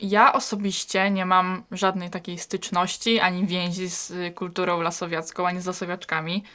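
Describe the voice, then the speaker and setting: Casting: young woman, lowish and monotone, informal, matter-of-fact. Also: normal speed. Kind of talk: informal personal talk.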